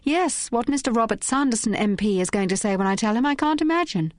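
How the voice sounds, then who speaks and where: A woman voicing a poised young woman detective who speaks in a cool, crisp, commanding way. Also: on the high side, animated, fast talker, no room sound, clean studio sound, pitched above the performer's own voice, close to an everyday voice. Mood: indignant.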